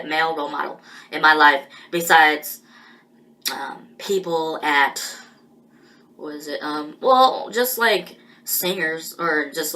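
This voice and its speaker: A woman talking through a personal monologue in a halting, rambling way, with many pauses and restarts. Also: sits low, bright, forceful tone, poor audio.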